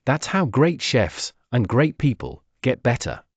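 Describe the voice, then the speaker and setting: A man voicing a kind, encouraging mother who gently guides a child in a storybook. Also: mid-range voice, wildly swooping pitch, a little slow, dry recording. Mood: approving. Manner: gentle, instructive. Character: kind, encouraging mother guiding her young daughter.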